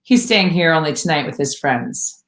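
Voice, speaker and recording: Woman putting on a young hostel staffer: bubbly, excitable, pitched up, roomy sound. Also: moderate pace. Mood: excited. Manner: gushing, excited, high and loud. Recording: roomy echo.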